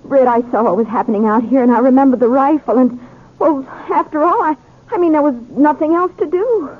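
A woman's voice: high-pitched, fairly quick, close to an everyday voice. Lady playing sweet american pioneer mom, soothing n earnest, thin noisy 50s radio. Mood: soothing, shaken. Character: gentle, sweet young frontier mother, anxious and protective. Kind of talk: 1950s radio western acting. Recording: noisy narrow-band 1950s radio.

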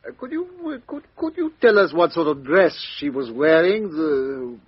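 A fussy foreign detective. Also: male voice, on the high side, sing-song, normal speed, slightly rough. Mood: probing. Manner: precise, hesitant, inquisitive. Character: fussy foreign male detective, Belgian, middle-aged. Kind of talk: 1950s radio drama acting. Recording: dull old 1950s radio recording.

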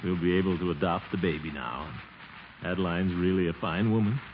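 Muffled old radio comedy, guy playing a flustered sentimental american bachelor, lowish voice, big theatrical delivery. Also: fairly quick, noisy background, close to an everyday voice. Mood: wistful, resigned. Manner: theatrical, sighing, exclaiming. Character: flustered, sentimental middle-aged bachelor about to be engaged. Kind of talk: 1940s radio comedy acting. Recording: muffled 1940s radio recording with music.

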